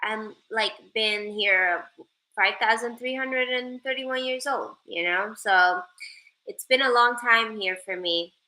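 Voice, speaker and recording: A woman's voice: a little high, slow pace, bright, forceful tone, low-quality recording. A young woman from Sweden chatting casually with viewers on a livestream, joking playfully and reading out their comments. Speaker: live streamer.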